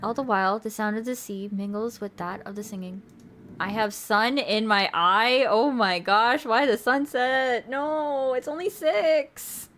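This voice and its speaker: A woman's voice: fairly high pitch, measured pace, fairly bright tone, a little hiss or hum. A young woman streaming, chatty and playful, moving between casual chat and reading a visual novel aloud. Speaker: streamer and host.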